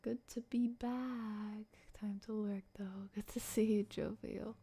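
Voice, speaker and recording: Soft, slow-paced woman streaming ASMR. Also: mid-range voice, fairly even intonation, slightly rough. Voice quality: soft, often dropping into a whisper. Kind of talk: ASMR livestream, casual chat with viewers.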